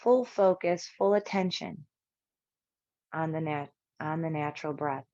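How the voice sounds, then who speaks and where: A woman instructor leading a guided yoga relaxation, speaking in measured, soothing short phrases with long pauses. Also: deep voice, darkish tone, dry recording, highs cut off.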